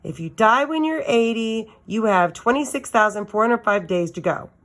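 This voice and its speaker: A woman's voice: on the high side, lively intonation, normal speed, fairly bright tone, pitched above the performer's own voice. A woman voicing a precocious, literal-minded girl math genius in a storybook, emphatic and matter-of-fact.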